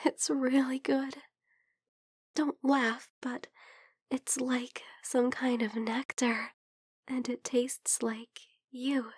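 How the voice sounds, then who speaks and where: A shy young vampire girl, acted by a woman in an intimate ASMR roleplay. Her voice is high, soft and breathy, often falling to a whisper. She speaks slowly and hesitantly, tender and hungry, very close and dry. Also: flat delivery, no room sound, heavily acted. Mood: worried, tender.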